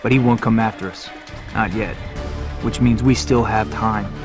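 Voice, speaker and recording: An actor playing an earnest, brooding young fighter pilot in a video game, with reflective, measured delivery. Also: male voice, on the low side, fairly even intonation, music in the background, lightly acted. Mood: determined. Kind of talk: scripted cutscene narration and dialogue.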